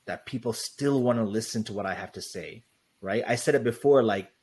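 A young man guest in a casual interview, talking loosely with lots of "like" and "right?", with a loud voice. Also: medium pitch, slightly rough. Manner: loose, rambling, heavy on "like" and "right?".

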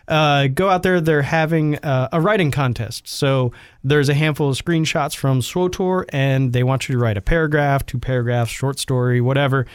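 A man's voice: mid-range voice, normal speed, clean tone, dry recording, clean studio sound. A man co-hosting a gaming podcast, chatting casually and laughing often. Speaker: podcast co-host. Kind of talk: casual gaming chat and announcements.

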